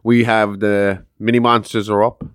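A deep-voiced man hosts a casual podcast, joking around and swearing freely. His low voice comes across smooth and resonant. The sound is close and dry, with no room echo. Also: little pitch movement, moderate pace. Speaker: podcast host. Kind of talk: casual chat. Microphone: podcast mic.

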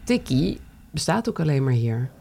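A woman's voice: rumbling low, big pitch swings, moderate pace, gravelly, mellow. A woman co-hosting a podcast in casual conversation. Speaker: podcast co-host. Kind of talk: casual chat.

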